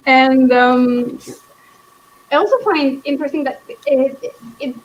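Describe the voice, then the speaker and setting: A woman in a casual book discussion, thinking aloud in a hesitant, halting way as she hosts. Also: high-pitched, steady tone, dull audio, slight background noise. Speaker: book club host.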